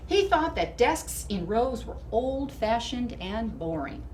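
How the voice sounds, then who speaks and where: A woman reading a storybook to children in expressive narration, with deliberate, emphatic phrasing. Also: on the high side. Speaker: storybook reader. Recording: distant mic with clear background noise.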